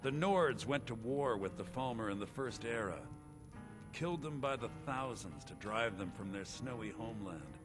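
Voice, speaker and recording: An actor playing a knowing, earnest male elven wizard scholar in a fantasy video game, speaking in a measured, expository way. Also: lowish voice, expressive, music in the background, natural voice. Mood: lecturing.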